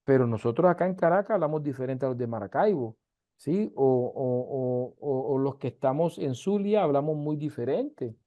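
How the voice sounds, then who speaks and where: A man's voice: medium pitch, lively intonation, normal speed, phone-quality sound. A man from Colombia's Caribbean coast, with its accent, teaching an online university class in an emphatic way full of rhetorical questions, on a video call. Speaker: university lecturer.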